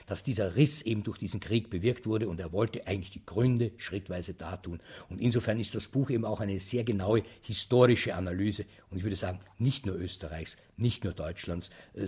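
A man giving measured, articulate literary commentary in an analytical, scholarly way. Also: low voice, warm tone, husky. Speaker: literary expert.

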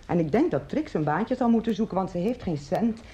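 A woman's voice: mid-range voice, animated, brisk, warm tone, natural voice. A woman acting in a radio play as a practical, complaining sister-in-law of a drunkard, chatty and matter-of-fact. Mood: fussing.

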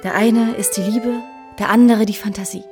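An actress playing a vain, coquettish and commanding society seductress in a radio drama. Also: female voice, mid-range voice, fairly even intonation, moderate pace, darkish tone, lightly acted. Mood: imperious, ambitious. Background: instrumental music.

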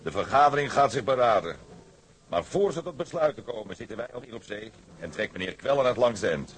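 A man playing a cynical, gruff seafaring officer with a blunt, sardonic way of talking in a period drama. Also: medium pitch, lively intonation, brisk, natural voice.

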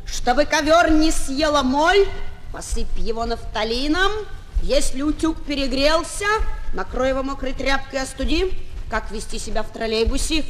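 A woman's voice: high voice, natural voice. A woman playing a merry, childish boy clown in exaggerated singsong style for a Soviet children's radio play. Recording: 1970s flexi-disc, noisy, long reverb.

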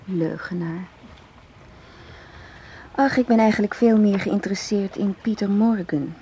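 A woman's voice: medium pitch, unhurried, dark tone, natural voice. An actress playing a cool, self-assured, seductive female agent in a radio play, knowing and challenging in her talk. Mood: probing, confident. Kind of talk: radio drama acting. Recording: hissy old radio play recording.

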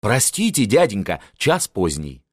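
A man's voice: lowish voice, huge pitch swings, unhurried, husky, no echo, clean studio sound, exaggerated voice. A man voicing a frightened young nephew who pleads nervously. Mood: anxious. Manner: pleading, anxious.

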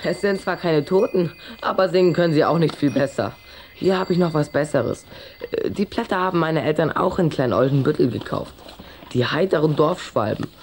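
Casting: a sheepish schoolboy for a children's radio play, very low for a woman, polite, unsure. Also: normal speed, a little mellow, slight background noise. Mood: defensive. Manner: hesitant, sheepish. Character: hesitant, polite young schoolboy. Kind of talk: German children's audio drama acting.

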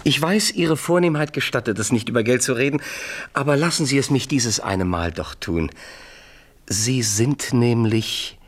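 An actor playing a suave, smug French marquis in a radio play, speaking with measured, mock-courteous politeness. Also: male voice, medium pitch, expressive, close to an everyday voice. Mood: mock regret. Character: suave, mocking French marquis acting as financial adviser. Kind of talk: 1970s German radio play acting.

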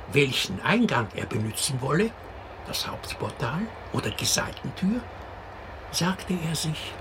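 An actor playing a wry, expressive fairy-tale storyteller in a radio drama. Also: male voice, medium pitch, noisy background. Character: wry, unhurried male teller of an absurd fairy tale.

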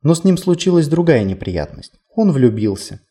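A man's voice: on the high side, big pitch swings, moderate pace, warm tone. A man voicing a whimsical, chatty male tour guide in an audiobook, conversational, playful and self-deprecating. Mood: playful storytelling. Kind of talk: audiobook character dialogue.

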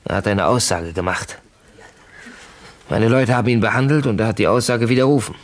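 An actor as an uneasy male official in a radio drama. He speaks in a fairly low voice, measured and confidential, as if giving a report. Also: natural voice. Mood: worried. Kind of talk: German radio crime drama acting.

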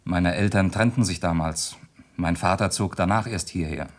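Estranged son in radio crime drama: deep male voice, polite, measured, composed. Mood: composed, sober. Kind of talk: German radio play acting, dramatic dialogue.

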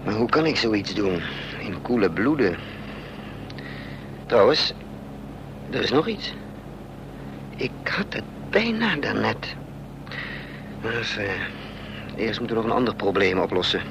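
A man's voice: lowish voice, sing-song, takes it slowly, vocal fry, long pauses, exaggerated voice. An actor playing an anxious, scheming adventurer in a radio play, muttering and hesitating as he thinks aloud. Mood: brooding, urgent. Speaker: protagonist and narrator of a radio play. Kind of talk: Dutch radio play acting. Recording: noisy old radio play recording, vehicle drone.

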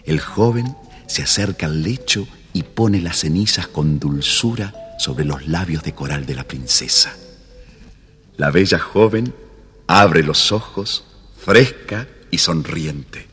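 A man's voice: sits low, slow, a bit bright, big-room sound, soft background music. A man narrating a children's story in a scripted, dramatic storytelling style.

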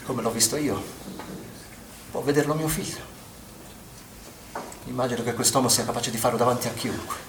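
A man's voice: mid-range voice, moderate pace, pauses often, lightly acted. An actor playing an anxious, accusing father who is protective of his children, in an insistent stage-drama style. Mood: uneasy, confiding. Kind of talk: stage theatre acting. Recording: echoey room, distant mic, background noise.

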